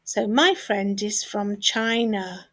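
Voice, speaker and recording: Female English tutor, mid-pitched and unhurried, carefully explaining grammar to learners with emphasis on key words. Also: a bit bright. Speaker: English teacher. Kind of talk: scripted grammar lesson for learners.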